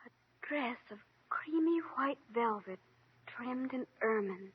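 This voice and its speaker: A woman's voice: fairly high pitch, animated, slow. An actress playing a sweet, earnest young heroine full of girlish wonder in an old-time radio drama. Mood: wonder, delight. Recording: dull old radio recording with hiss.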